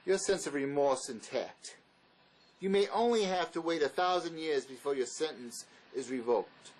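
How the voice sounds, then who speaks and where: A man's voice: fairly high pitch, animated, normal speed, darkish tone, poor audio. A man acting a bitter, blunt fugitive drifter in a dramatic script reading, sarcastic and cutting.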